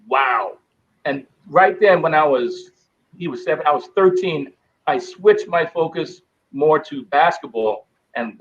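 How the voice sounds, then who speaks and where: A middle-aged man, a guest telling stories in an animated way. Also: a little high, muffled recording. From Bahamas. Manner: animated storyteller acting out quoted voices. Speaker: guest, former basketball player. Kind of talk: storytelling interview.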